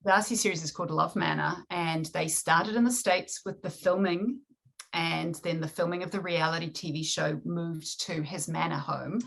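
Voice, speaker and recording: A woman with a New Zealand accent talks in a loose, chatty way, often laughing and restarting sentences. Her voice sits a little low and has a gravelly fry to it. She sounds like a guest on a remote interview call. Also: steady tone, normal speed, fairly bright tone. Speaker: author guest. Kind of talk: casual interview chat.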